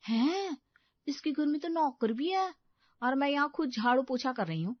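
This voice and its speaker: A woman's voice: sits high, animated, normal speed, no room sound, muffled recording, voice pushed up. A woman voicing an envious, discontented young housewife in a storybook tale, whining and exclaiming with self-pity. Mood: envious, sulky.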